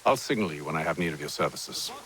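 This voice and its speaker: An actor in a video game playing a composed, calculating gentleman who leads a band of conspirators in a measured, formal, commanding way. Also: rumbling low, expressive, noisy background. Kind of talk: dramatic video game acting.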